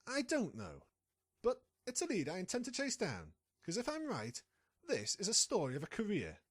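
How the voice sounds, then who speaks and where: Scheming pony reporter, played by a woman: husky, hugely animated delivery, confident and impatient, for an audio drama. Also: mid-range voice, normal speed. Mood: determined, scheming. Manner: confident, conniving, impatient with a partner. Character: sly, scheming pony reporter chasing a scoop. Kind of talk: fan audio drama acting.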